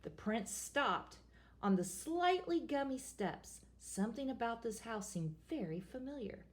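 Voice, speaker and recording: A woman reading a children's picture book aloud in a playful, expressive storytelling manner. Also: a little high, measured pace.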